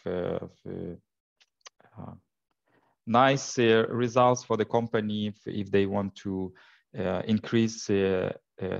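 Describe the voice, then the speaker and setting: A low-voiced man presents a product in English, pausing often on fillers and restarting his phrases. His voice has a gravelly fry to it. The recording sounds dull and lo-fi. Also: darkish tone. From Ukraine. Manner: hesitant, many fillers and false starts. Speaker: software sales and technical support presenter. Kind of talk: presentation at an info day.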